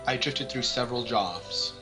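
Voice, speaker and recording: Male amateur let's-player, slightly lower-mid voice, casual narration read-aloud with clear background music. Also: moderate pace. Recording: music behind the voice. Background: game music.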